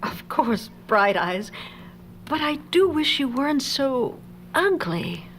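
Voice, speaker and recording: A woman's voice: high voice, big pitch swings, slow, exaggerated voice. An actress playing a caring, cautious female ape scientist in a record audio drama, speaking carefully on a noisy track. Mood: fond. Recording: noisy track with ambience behind.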